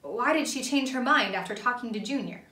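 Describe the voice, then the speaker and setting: Male tomato sleuth for a picture book, woman reader: probing, persistent, high-pitched, roomy recording. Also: lively intonation, brisk, pushed and bright, far from the mic. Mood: questioning. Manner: insistent, questioning.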